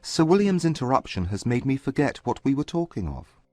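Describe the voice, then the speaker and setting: Guy acting a proud reserved regency gentleman, grave and formal, very swoopy pitch, audiobook drama. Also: sing-song, brisk, no echo, soft background music, lightly acted. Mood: polite, curious.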